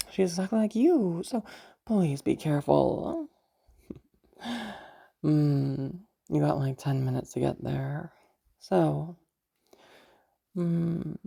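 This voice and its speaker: A woman acts out a sultry, villainous woman for an audio roleplay. Her voice is low and dark, with a gravelly fry. She taunts in a slow, possessive purr, menacing and fully in control. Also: full character voice. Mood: smug, controlling. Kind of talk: roleplay audio drama addressed to the listener.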